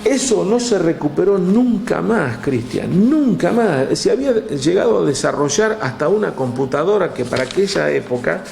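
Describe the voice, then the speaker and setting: A man with an Argentine accent, telling history in a relaxed chat, with many "este" fillers, reading out figures from a text. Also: sits high, normal speed, creaky, few pauses, roomy sound, slight background noise. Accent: Argentine Spanish. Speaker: guest storyteller. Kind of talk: conversational history talk.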